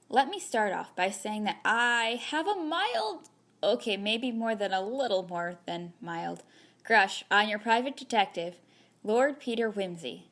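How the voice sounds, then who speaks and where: A woman reading a chatty open letter aloud for an audio magazine, expressively, with playful, wry, conversational emphasis. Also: mid-range voice. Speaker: reader of a magazine piece.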